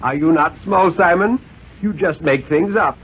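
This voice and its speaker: Condescending radio father, acted by a man, high-pitched and emphatic, tinny old recording. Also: unhurried, husky. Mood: patronizing. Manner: pompous, insistent, jolly-patronizing, emphatic. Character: overbearing, condescending father lecturing his small son. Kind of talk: 1950s radio dramatic reading.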